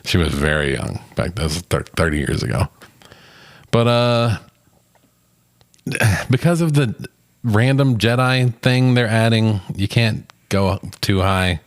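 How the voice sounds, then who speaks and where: Low-pitched man chatting about movies. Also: lively intonation, unhurried, dry recording, clean studio sound. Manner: chuckles often, swears casually. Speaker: podcast co-host reviewing films. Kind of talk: casual movie discussion.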